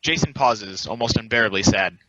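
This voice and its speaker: A man's voice: on the low side, a little fast, pushed and bright, no room sound. A man reading stage directions as scripted narration for a table read. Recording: muffled lo-fi audio, dry.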